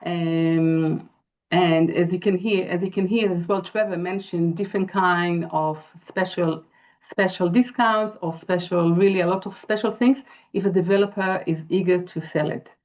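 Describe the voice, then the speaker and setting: Israeli woman with a low, dull-sounding voice. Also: steady tone, a little mellow. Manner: earnest, halting, many fillers. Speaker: interior designer presenting. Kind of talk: webinar presentation. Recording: dull lo-fi video call audio.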